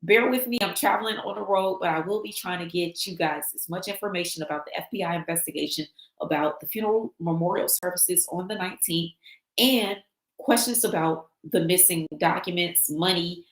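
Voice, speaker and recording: Lady host winding down a live, bit raspy and tired-voiced, chatty, roomy echo. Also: mid-range voice. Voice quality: slightly raspy voice. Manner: chatty, animated, reads viewer comments aloud. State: losing her voice from travel. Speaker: livestream host. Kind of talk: casual livestream sign-off chat.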